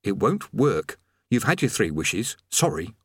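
A man's voice: on the low side, sing-song, a little slow, slightly rough, dry recording, exaggerated voice. A man voicing a gloomy, cowardly wizard in an audiobook reading, dry and matter-of-fact. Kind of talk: audiobook character voice.

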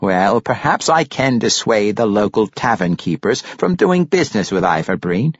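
Man playing a courtly old grandfather, swooping pitch. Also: very animated, moderate pace, slightly rough, no room sound, dull audio. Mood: bargaining. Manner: courtly, theatrical, amused, flowery phrasing. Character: jovial, shrewd, courtly older crime-boss grandfather.

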